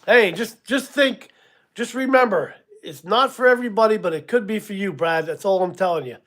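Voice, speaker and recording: Man, 60s, relaxed livestream host, higher-pitched voice, rambling and chuckly. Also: normal speed. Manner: casual, rambling, chuckles at himself, many ums. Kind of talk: live stream chat with viewers.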